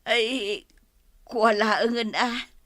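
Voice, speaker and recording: An actress playing a long-suffering, tearful wife of a gambler in an Ilocano radio comedy-drama, plaintive and melodramatic. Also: female voice, on the high side, fairly even intonation, drawn-out words, fairly bright tone. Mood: tearful, hurt.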